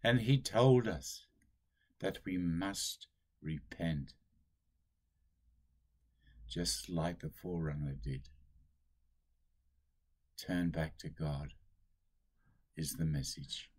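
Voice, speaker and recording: An older man, a priest, giving a solemn sermon at a slow, deliberate pace, recorded on a webcam in a small room at home. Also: low voice, a little mellow, breathy edge.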